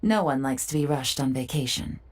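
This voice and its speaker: A woman voicing a poised, urbane female intelligence agent in a video game, with a smooth, leisurely, playful and self-assured manner. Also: extremely low pitch, lively intonation, normal speed, darkish tone. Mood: content. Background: background music.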